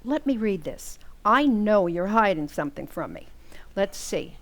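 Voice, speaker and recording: A woman playing a sweet but sharp-eyed American housewife in a 1940s radio comedy style. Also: mid-range voice, sing-song, a little slow. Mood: suspicious. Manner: fond, probing, reproachful.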